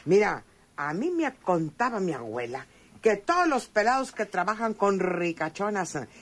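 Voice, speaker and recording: A woman's voice: medium pitch, very animated, moderate pace, husky. An actress playing a sly, folksy older country woman with a rural northern Mexican accent in a radio serial. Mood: sly, gossipy. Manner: folksy, teasing rustic speech. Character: sly, plain-spoken older country woman, newly dressed up.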